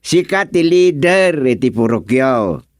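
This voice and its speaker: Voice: medium pitch, big pitch swings, slow, a little mellow, no room sound, clean studio sound. An actor playing a patient, easily amused village chairman in an Ilocano radio comedy, questioning and reacting with interjections. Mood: advising. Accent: Filipino, Ilocano.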